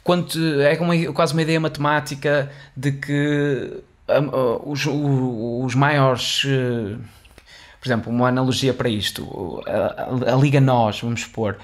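Young man, European Portuguese accent, chatty explainer, mid pitch, studio mic in small room. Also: fairly even intonation, unhurried. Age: young adult. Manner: chatty, many restarts and fillers, gestures while talking. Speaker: host of an educational video series. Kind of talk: casual explainer monologue on finance history. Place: small studio room with dark wall, indoors. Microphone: studio condenser mic on boom arm, headphones on.

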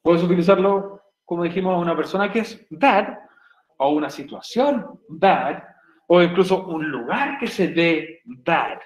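Chilean teacher with a high voice. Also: male voice, animated, a little slow, roomy sound, low-quality recording. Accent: Chilean Spanish. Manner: chatty, jokey, asks "¿cierto?" often. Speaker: English teacher. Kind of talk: online class explanation. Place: home room on a video call.

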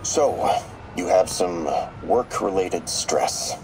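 An actor playing a calm, probing therapist on a recorded session in a video game. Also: male voice, low voice, slow pace, background noise. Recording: music over an audio-recorder playback.

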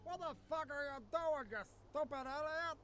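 Drunk grumpy parrot from a cartoon game, super high squawky slur, music in the back. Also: male voice, steady tone, moderate pace. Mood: angry. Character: drunk, surly, foul-mouthed green male cartoon parrot.

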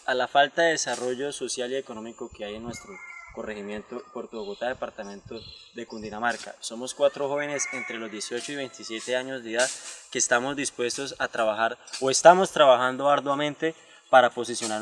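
A man in his late twenties with a Colombian accent presents to camera. His voice sits at a middle pitch. He speaks as if delivering a prepared introduction, recorded outdoors. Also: fairly even intonation, brisk, fairly bright tone. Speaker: quality control leader of a small food business. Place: outdoors in countryside.

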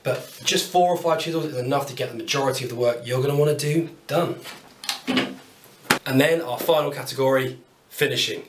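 A British man in his thirties talks through his tools in a chatty, upbeat way, with wry asides about his cheap kit. His voice sits at a middling pitch. The workshop is roomy and echoes around him, and he stands some way from the camera mic. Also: a little fast, recorded from a distance, a little hiss or hum. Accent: British English. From United Kingdom. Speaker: woodworking YouTuber. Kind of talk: casual tool walkthrough to camera. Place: small home workshop, indoors. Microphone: camera mic at a distance.

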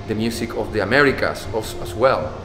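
A man's voice: on the low side, animated, fairly quick. A man, speaking thoughtfully in an interview, often repeating words. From Spain. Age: 50s. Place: dance studio, indoors. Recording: echoey hall with music behind.

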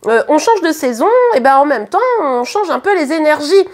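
A hoarse woman with a French accent, doing a casual tarot reading to camera in a chatty, hesitant way with many fillers and restarts. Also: high-pitched, lively intonation, moderate pace. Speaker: tarot card reader. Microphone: camera at the reading table.

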